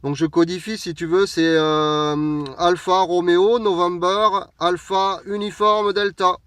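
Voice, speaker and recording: High, clear-voiced Frenchman on radio. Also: little pitch movement, slow, fairly bright tone, dry recording. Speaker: mobile radio operator. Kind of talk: friendly radio contact chat. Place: inside a parked vehicle. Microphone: radio hand microphone, recorded close by.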